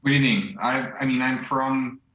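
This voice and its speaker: A young man from central Ukraine with a Ukrainian accent, answering a live news interview in English in a halting way, searching for words. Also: medium pitch, fairly even intonation, fairly bright tone. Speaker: volunteer driver interviewed live. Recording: echoey remote link.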